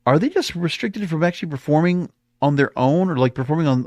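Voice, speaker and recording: A man's voice: a little high, darkish tone, no room sound, clean studio sound. An American man giving opinionated solo podcast commentary in a rambling, exasperated manner full of rhetorical questions. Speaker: podcast host.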